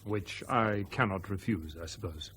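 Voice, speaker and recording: An actor playing a stubborn, embittered airline captain in a radio drama, husky and sardonic. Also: male voice, fairly low pitch, measured pace, a bit bright. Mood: bitter, determined. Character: defiant, embittered airline captain fighting a corporation.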